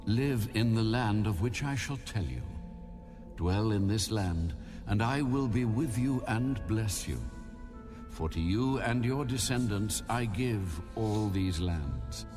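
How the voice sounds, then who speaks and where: A man voicing a solemn, commanding God in a dramatized audio Bible, speaking slowly and deliberately with a low, weighty delivery. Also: lively intonation. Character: God, male, solemn, commanding, promising blessing. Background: music.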